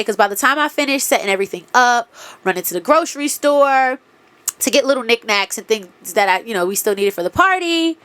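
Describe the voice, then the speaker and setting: A woman's voice: mid-range voice, no room sound. Woman host, congested, bluntly profane storyteller, very dry close sound. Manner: candid, profane, animated retelling with quoted dialogue. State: congested nose. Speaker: podcast host. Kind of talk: casual storytelling monologue.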